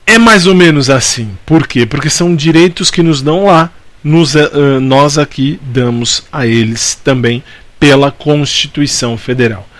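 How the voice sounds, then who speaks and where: A man's voice: medium pitch, no echo. Brazilian man teaching, close dry sound. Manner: didactic, repeats key points, asks and answers own questions. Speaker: law teacher. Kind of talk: informal exam-prep lecture.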